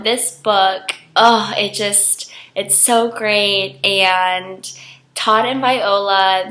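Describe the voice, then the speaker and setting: A young woman with a cold, her voice froggy and hoarse, chatting casually to camera as a book vlogger and gushing about her favorites. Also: sharp tone. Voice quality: froggy, deeper than usual. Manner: gushing, enthusiastic.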